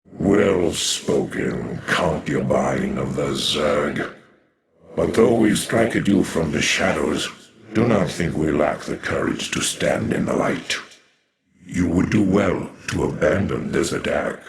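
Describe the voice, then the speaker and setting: Extremely deep, grim alien assassin. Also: male voice, roomy sound, crowd noise, heavily acted. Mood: cold menace. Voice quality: very deep, gravelly. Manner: slow, menacing, formal. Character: grim, proud male alien dark templar assassin. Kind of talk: dramatic video game voice acting.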